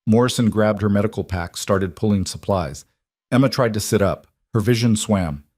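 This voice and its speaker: Low-voiced man narrating a military drama. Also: moderate pace, a little mellow, dry recording, clean studio sound. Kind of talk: scripted story narration.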